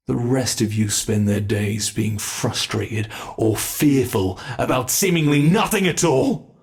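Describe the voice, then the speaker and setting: A man playing a sadistic, gloating monster from under the bed in an ASMR horror roleplay, taunting and savoring every word with a gravelly voice. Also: medium pitch, darkish tone.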